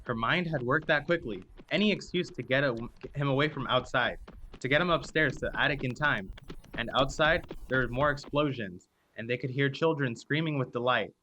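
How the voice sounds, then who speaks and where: A man narrating a scripted radio drama with suspenseful, deliberate phrasing. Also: medium pitch, bright, forceful tone, slight background noise, low-quality recording.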